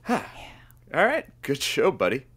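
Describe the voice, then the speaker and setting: A man's voice: on the high side, wildly swooping pitch, takes it slowly, a bit bright, husky, soft background music, full character voice. A man playing a wry, mock-dramatic radio announcer and sidekick in a comic audio drama. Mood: wry, exasperated.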